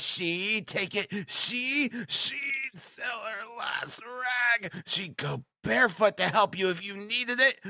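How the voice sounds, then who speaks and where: A man voicing a frantic, defiant, impoverished widow in an audiobook, wailing and fiercely protective with drawn-out dramatic cries. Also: a little high, creaky, sharp tone, no echo, voice pushed up, heavily acted. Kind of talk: dramatic audiobook character acting.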